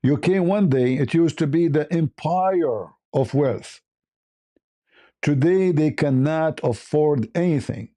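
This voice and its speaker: A man's voice: a little high, slow, dark tone. A man with an Arabic accent giving a blunt, off-the-cuff opinion monologue as a livestream host, repeating phrases for emphasis.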